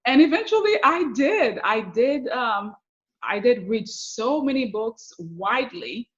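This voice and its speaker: A middle-aged woman with a Haitian accent telling personal stories as an interview guest, often halting and repeating words. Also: a little high, animated. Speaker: podcast guest, success coach. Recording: slightly dull, lo-fi connection.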